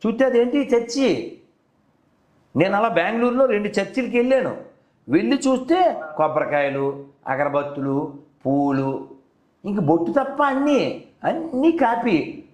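A man's voice: high-pitched, very animated, unhurried, long pauses, darkish tone. A man debating conversationally on a call, persistently probing with leading questions as the host. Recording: roomy, echoey phone call recording.

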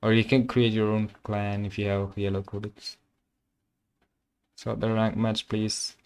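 A man's voice: fairly low pitch, level tone, a little slow, darkish tone, clean tone. A young man from Kerala with an Indian accent and Malayalam as his first language, chatting casually with viewers on a game stream, full of fillers and loose phrasing. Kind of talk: casual live-stream chat. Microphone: condenser microphone.

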